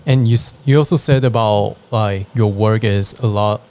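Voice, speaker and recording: A man's voice: fairly low pitch, moderate pace, a little mellow, clear, resonant tone. A man hosting a podcast, asking short curious questions and sometimes restarting them. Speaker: podcast host. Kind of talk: casual interview.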